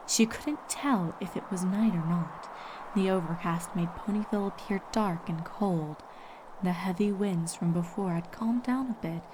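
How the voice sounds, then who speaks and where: A woman's voice: fairly low pitch, a little mellow, a little hiss or hum, poor audio. A woman reading scripted story narration as a fan fiction reader.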